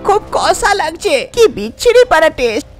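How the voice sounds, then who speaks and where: A woman's voice: extremely high pitch, very animated, moderate pace, faint music behind, pitched above the performer's own voice. A woman voicing a plaintive, reluctant young daughter-in-law in a cartoon folk tale. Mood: whiny, protesting. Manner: plaintive, protesting.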